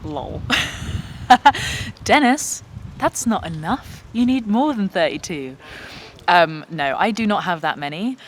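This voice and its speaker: Young woman guitarist, casual vlog Q&A, chatty and self-deprecating, lowish voice, faint background noise. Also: animated, takes it slowly, pauses often. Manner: chatty and self-deprecating, reads out questions then answers. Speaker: singer-songwriter and guitarist answering viewer questions.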